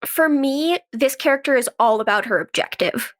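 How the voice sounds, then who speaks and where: A woman's voice: sits high, expressive, moderate pace. A woman speaking casually as an interview guest, in a conversational way peppered with "like". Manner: conversational, frequent "like". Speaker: guest, actress and writer.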